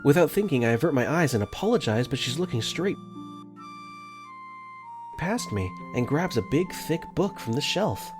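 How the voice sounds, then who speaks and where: A man's voice: fairly high pitch, big pitch swings, normal speed, pauses often, over music. A man narrating a visual novel fan dub in first person, earnest and easily flustered.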